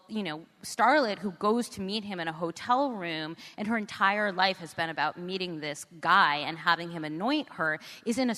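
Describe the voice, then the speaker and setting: Animated American woman in an echoing hall. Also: fairly low pitch. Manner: informal, emphatic, punctuated with rhetorical questions. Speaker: interview guest, author. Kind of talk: conversational interview. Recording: echoey hall with long reverb.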